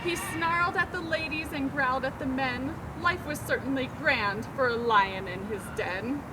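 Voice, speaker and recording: A woman reading a children's picture book aloud expressively, pausing to put questions to her listeners. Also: sits high, background noise. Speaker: storytime reader, zoo educator.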